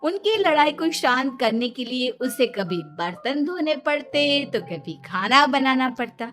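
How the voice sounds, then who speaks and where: A woman's voice: high-pitched, sing-song, measured pace, a bit bright, faint music behind. A woman narrating a scripted children's story as its storyteller.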